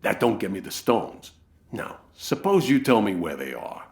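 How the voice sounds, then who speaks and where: A man's voice: lowish voice, very animated, moderate pace, vocal fry. A man playing an impatient, menacing crime boss in an old-time radio style drama, curt and threatening.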